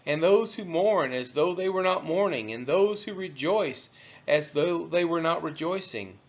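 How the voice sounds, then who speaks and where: A man reading scripture aloud from a text, with measured, emphatic phrasing. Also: fairly high pitch, lively intonation, lo-fi sound. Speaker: scripture reader.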